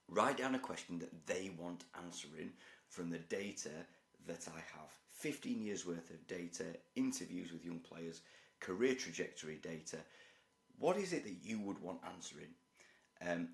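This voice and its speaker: A man with a croaky voice, explaining his points to camera in a measured, structured way. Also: deep voice, roomy sound. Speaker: youth football researcher and parent educator. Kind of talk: direct-to-camera talk.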